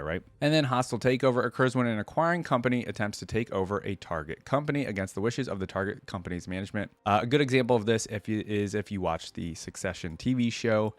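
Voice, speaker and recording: A man's voice: lowish voice, no room sound. An American man hosting a reaction video, reading aloud and adding casual, conversational asides as he thinks aloud. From United States.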